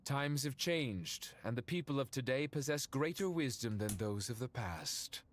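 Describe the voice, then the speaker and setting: A man's voice: medium pitch, lively intonation, a little slow, slight background noise, lightly acted. A man playing a dignified, loyal spirit leader in a video game, with formal, stately speech. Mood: stately, resolute. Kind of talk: scripted video game dialogue. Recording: game music behind the voice.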